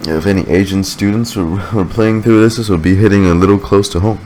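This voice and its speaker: A man's voice: low-pitched, fairly even intonation, brisk, darkish tone, slight background noise. A man giving casual gaming commentary and reading dialogue choices aloud as a reaction streamer.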